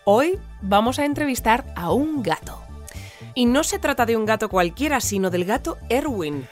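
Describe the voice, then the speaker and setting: An actress playing a polite, composed female news presenter, speaking earnestly and in measured phrases in an audio fiction bulletin. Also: on the high side, sing-song, soft background music. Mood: earnest, formal.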